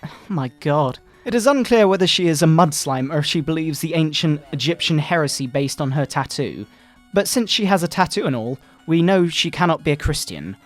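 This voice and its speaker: Man putting on a pompous, high-pitched fire-and-brimstone moral crusader. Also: expressive, faint music behind, close to an everyday voice. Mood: scornful, preachy. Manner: pompous, melodramatic preaching with drawn-out words. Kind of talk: mock-dramatic satirical reading.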